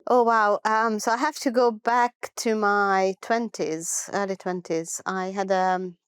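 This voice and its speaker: A middle-aged woman from Hungary with a Hungarian accent, telling her life story as an interview guest in an earnest, unhurried way with thoughtful pauses. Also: medium pitch, little pitch movement, clean tone, no room sound.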